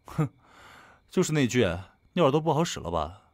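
A young man acting a cocky, teasing, hot-tempered high school boy in an audio drama, expressive and easily exasperated. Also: moderate pace, dry recording, clean studio sound. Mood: teasing, grumbling. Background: background music.

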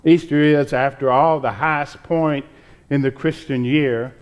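A man in his sixties preaching a sermon as a pastor, with deliberate, measured phrasing and dramatic pauses. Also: on the high side, fairly even intonation. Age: 60s. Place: church sanctuary, at the pulpit. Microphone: pulpit microphone.

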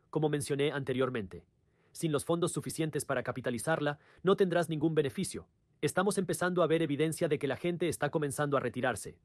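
A man's voice: mid-range voice, darkish tone, no echo, studio quality. A man presenting a scripted explainer in the manner of a dubbed video host.

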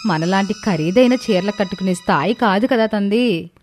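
A woman's voice: mid-range voice, normal speed, soft background music, close to an everyday voice. A woman playing a haughty, scornful daughter-in-law in a cartoon, with a sneering manner.

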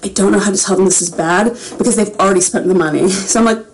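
A woman's voice: mid-range voice, quick pace, a little hiss or hum. Chatty woman rambling on a livestream. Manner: rambling, chatty, laughs between phrases. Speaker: voice actor answering fan questions. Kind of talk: casual livestream Q&A. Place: small home room with a framed picture behind.

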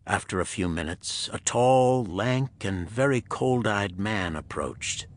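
A man giving scripted narration of a pulp thriller audiobook in a husky voice. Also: on the low side, lively intonation, slow, no room sound.

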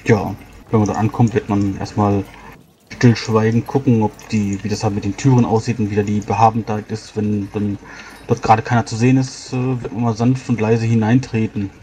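A male tabletop player describes what his character does. His voice is low and mellow, rather flat in melody, with faint noise in the recording. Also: normal speed.

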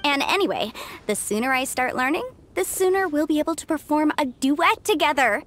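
A woman's voice: sits high, expressive, a little fast, fairly bright tone, a little hiss or hum. A woman voicing a bubbly young woman musician in a video game, eager and coaxing.

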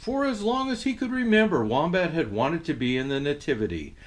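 An older man playing Santa reads a children's story aloud in an expressive, jolly manner. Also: on the high side, brisk. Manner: expressive, jolly delivery with chuckles. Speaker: storyteller dressed as Santa Claus. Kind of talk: animated storybook reading for children.

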